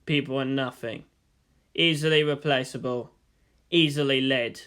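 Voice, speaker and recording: A man voicing a cold, menacing middle-aged commander and father in a story, contemptuous and deliberate as he threatens. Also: medium pitch, steady tone, fairly bright tone, clear, resonant tone, pitched below the performer's own voice, close to an everyday voice.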